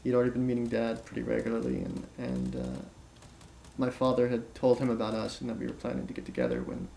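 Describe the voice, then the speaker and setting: An actor playing an uneasy man giving a halting, understated account in a naturalistic documentary interview style. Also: mid-range voice, big pitch swings, gravelly, mellow, a little hiss or hum. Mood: puzzled. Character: uneasy man recounting meeting his secret half-brother.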